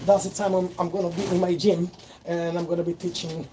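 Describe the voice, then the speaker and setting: A man's voice: high-pitched, level tone, a little fast. A Brazilian man whose first language is Portuguese, speaking English with a Brazilian accent. He chats casually on a livestream, rambling and correcting himself as he goes. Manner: rambling, self-correcting, conversational. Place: at work, sorting bags. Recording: loud background noise.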